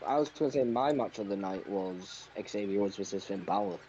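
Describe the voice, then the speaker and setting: A man's voice: fairly low pitch, a little fast. Dull-sounding man debating on a podcast. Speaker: wrestling podcast panelist. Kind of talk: casual debate. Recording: dull lo-fi mic, faint noise.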